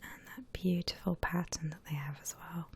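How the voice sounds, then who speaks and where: A woman whispering breathily and gently as she hosts an unhurried ASMR art tutorial. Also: lowish voice, fairly even intonation, vocal fry. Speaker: host of an ASMR drawing channel. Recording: faint background noise.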